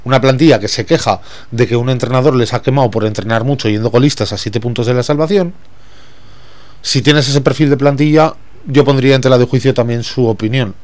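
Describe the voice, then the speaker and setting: A man's voice: medium pitch, a little fast, darkish tone. Blunt man giving opinions. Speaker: sports pundit. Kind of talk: casual panel discussion.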